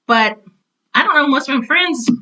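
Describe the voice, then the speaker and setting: Woman, 60s, interview guest. Bright, higher-pitched voice, casual and opinionated delivery. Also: expressive, moderate pace, low-quality recording. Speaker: guest, retired teacher and author.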